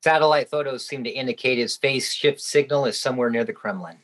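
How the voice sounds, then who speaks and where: Low-voiced female intelligence analyst played by an actress. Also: quick pace. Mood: businesslike. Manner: businesslike. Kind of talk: radio play acting.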